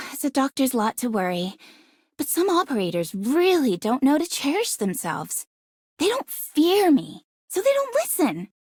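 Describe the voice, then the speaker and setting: An actress voicing a fretful, caring female doctor in a video game, with a slightly husky voice. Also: high-pitched, wildly swooping pitch, a little slow, darkish tone, dry recording, clean studio sound, exaggerated voice. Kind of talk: scripted game character voice lines.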